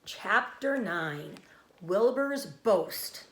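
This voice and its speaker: Female teacher-narrator, storybook read-aloud: animated intonation, mid pitch, roomy echo. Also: drawn-out words. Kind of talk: expressive storybook narration with brief explanations.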